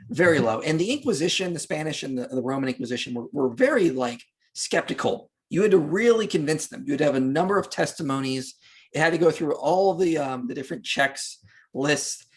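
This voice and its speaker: A man's voice: fairly high pitch, husky. A man speaking as a podcast guest, explaining and debunking informally in emphatic, punchy phrases.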